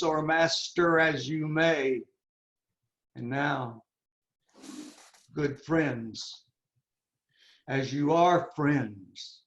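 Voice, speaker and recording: A man performing an anguished, intense young prince in a Shakespearean dramatic reading, declaiming haltingly and dwelling on words. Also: on the high side. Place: indoors on a video call. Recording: roomy, echoing, muffled video call audio.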